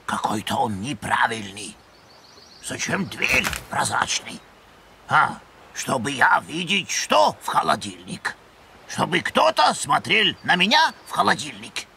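A man's voice: medium pitch, big pitch swings, measured pace, gravelly, sharp tone, slightly rough, full character voice. A man voicing a grumpy, stubborn penguin inventor with a German accent in a children's cartoon, blunt and speaking in broken grammar. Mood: puzzled. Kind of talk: animated children's cartoon dialogue. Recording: music behind.

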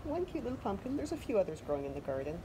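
A woman's voice: mid-range voice, big pitch swings, racing, background noise. A Canadian woman chatting casually through a home garden vlog, loose and self-deprecating, with plenty of fillers. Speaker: vlogger. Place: covered wooden deck outdoors.

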